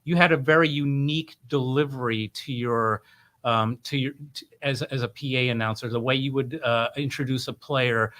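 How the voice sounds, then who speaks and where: A man's voice: mid-range voice, normal speed, a bit bright. A middle-aged man hosting an interview, asking questions in a casual, conversational style. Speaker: interview host.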